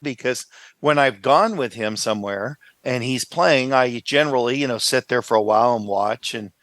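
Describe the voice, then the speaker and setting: A man's voice: mid-range voice, moderate pace, a bit bright, no room sound. A man co-hosting a podcast in casual chat, musing his way through answers a little hesitantly. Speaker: podcast co-host.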